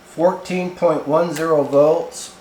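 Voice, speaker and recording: A man hosting a casual, unscripted gear review at home, talking in a halting, rambling, folksy way. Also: on the high side, fairly even intonation, mellow. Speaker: video host reviewing radio gear. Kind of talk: casual unscripted product unboxing and demo. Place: indoors in a home kitchen. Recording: echoey room with faint noise.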